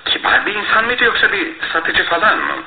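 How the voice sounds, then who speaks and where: An actor playing a shrewd, self-assured detective with a courteous, theatrical manner in a radio drama. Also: male voice, high voice, wildly swooping pitch, brisk, vocal fry, heavily acted. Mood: courteous, probing. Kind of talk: Turkish radio theatre acting. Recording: tinny, band-limited old radio broadcast, faint noise.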